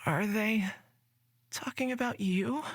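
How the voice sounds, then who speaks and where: A woman playing a shy, gentle, hesitant boy in a fantasy visual novel. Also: mid-range voice, takes it slowly, no echo. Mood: unsure. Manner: hesitant, meek.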